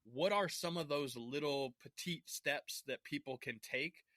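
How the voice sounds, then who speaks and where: A man's voice: a little high, measured pace, bright, forceful tone, dry recording, studio quality. A man hosting a sales podcast, speaking in an earnest, affirming way as he interviews his guest. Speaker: podcast host.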